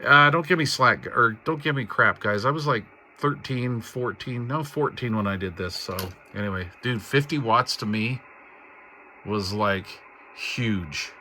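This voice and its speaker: A man with a mid-pitched voice talks through a hands-on build as a hobbyist host. His delivery is casual and folksy, wandering from point to point in informal, slangy language. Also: unhurried, bright, forceful tone, slight background noise. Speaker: hobbyist host of a DIY radio build video. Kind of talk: casual hands-on tutorial chat.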